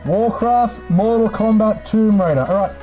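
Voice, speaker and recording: A man's voice: sits high, unhurried, warm tone, highs cut off. A man hosting a casual livestream, chatting in a laid-back, sweary way and addressing viewers as mates. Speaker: live streamer host. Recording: music playing in the background. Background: background music.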